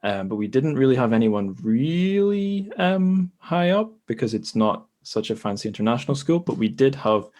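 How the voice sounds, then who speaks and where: A man's voice: medium pitch, big pitch swings, normal speed, hardly any pauses, darkish tone. A man hosting a casual podcast conversation, speaking informally in a rambling, self-correcting way. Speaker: podcast host. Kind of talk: casual chat.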